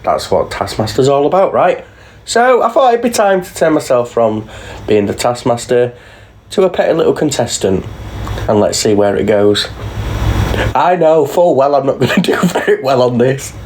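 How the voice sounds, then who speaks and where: Male contestant, mid pitch with lively swings, excited and chatty intro. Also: moderate pace, slight background noise. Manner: upbeat, excited. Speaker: game contestant, YouTuber. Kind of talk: chatty self-introduction to listeners.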